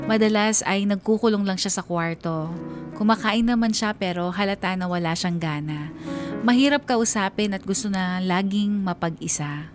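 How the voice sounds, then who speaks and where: A woman's voice: mid-range voice, natural voice. A woman playing a sorrowful, devoted mother narrating her life story in a radio drama. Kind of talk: scripted first-person radio drama narration. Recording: music bed behind the voice.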